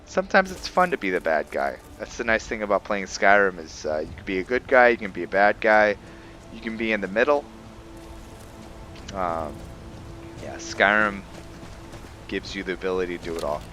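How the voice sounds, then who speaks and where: A man giving casual commentary on a roleplay game, halting and musing as he thinks aloud. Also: low voice, animated, sharp tone. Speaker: host of a game roleplay series. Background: background music.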